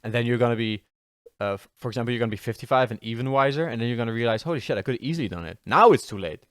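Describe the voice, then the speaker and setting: A man's voice: medium pitch, racing, dry recording, studio quality. A man in his twenties hosting a casual podcast chat, blunt and informal, often questioning his partner. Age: 20s. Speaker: podcast host.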